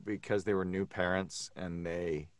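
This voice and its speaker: Guy with a deep low voice, chatting casually, halting, dry humour, close dry sound. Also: fairly even intonation, no room sound. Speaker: voice actor on a horror podcast. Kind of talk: casual group chat.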